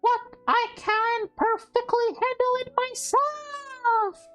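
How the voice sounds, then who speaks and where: A woman voicing a hot-tempered, defiant teenage girl in a visual novel, with shrill, indignant outbursts. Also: slow pace, a bit bright, faint music behind, full character voice.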